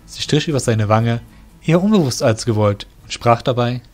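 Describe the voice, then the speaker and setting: Man, narrator, steady measured storytelling, mid-pitched voice, faint music underneath.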